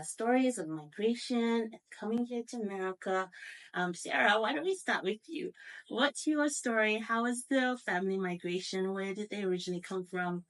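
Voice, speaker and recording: A woman's voice: mid-range voice, a bit bright, rough recording. A woman hosting a panel conversation, introducing guests and putting questions to them. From born outside the US. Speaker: guest host of a workplace panel.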